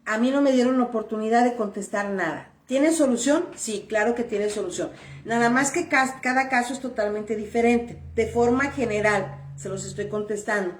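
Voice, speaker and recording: A woman's voice: on the high side, normal speed, distant mic, a little hiss or hum. A woman giving blunt immigration advice straight to her viewers, answering their questions in a firm, repetitive way. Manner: firm, blunt and repetitive, stressing points plainly. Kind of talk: direct-to-camera advice video. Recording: roomy echo.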